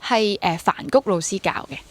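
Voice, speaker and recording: A woman's voice: medium pitch, normal speed, a bit bright. A woman in her twenties with a Hong Kong Cantonese accent, talking casually and reflectively as an interview guest and mixing in English words. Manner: mixes English words into speech. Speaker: interview guest, stage actor and drama teacher.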